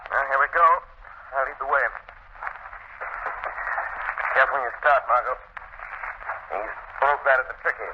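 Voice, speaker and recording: An actor playing a suave, inquisitive amateur sleuth in a 1930s radio drama, crisp, deliberate and commanding. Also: male voice, mid-range voice, steady tone, close to an everyday voice. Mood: cautious, commanding. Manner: crisp, deliberate, probing questions. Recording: muffled, echoey, hissy 1930s radio recording.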